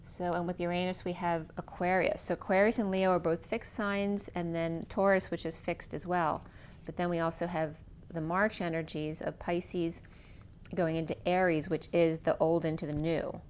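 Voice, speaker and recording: A middle-aged woman with a lowish voice reads tarot cards for her viewers. She talks in a slow, dreamy, free-associating way. Her voice sounds a little worn, as if she is starting to lose it. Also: steady tone, a little mellow, a little hiss or hum. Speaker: tarot reader. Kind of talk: spiritual tarot reading monologue.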